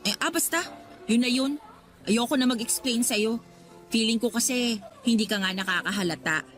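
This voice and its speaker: High, snippy young Filipina girlfriend acted by a woman. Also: moderate pace, a bit bright, natural voice. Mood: sulky, annoyed. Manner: snippy, nagging, huffy. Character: exasperated, sulky 24-year-old working girlfriend. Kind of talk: Filipino radio drama dialogue. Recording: background music under the voice.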